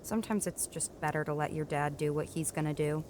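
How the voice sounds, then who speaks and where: A woman's voice: low-pitched, fairly quick, darkish tone, slight background noise, lightly acted. A woman playing a determined, kind young female mountain climber, plucky and inquisitive, in a family audio drama. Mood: subdued, sympathetic.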